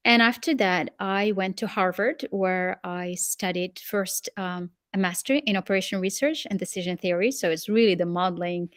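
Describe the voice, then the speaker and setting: Measured Moroccan-born woman guest. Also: mid-range voice, no echo, studio quality. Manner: measured, explanatory. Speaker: guest expert. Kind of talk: interview answers.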